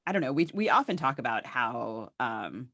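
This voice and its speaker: A woman's voice: very deep voice, very animated, moderate pace, fairly bright tone, no echo, clean studio sound. A woman co-hosting a podcast, asking conversational interview questions in an exploratory, loosely phrased way. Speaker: podcast co-host.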